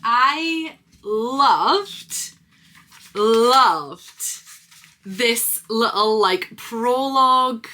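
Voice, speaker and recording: A woman's voice: on the high side, extremely slow, pushed and bright, slight background noise. A woman giving casual, enthusiastic read-along reactions to a book, speaking informally and expressively. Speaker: book reviewer and vlogger.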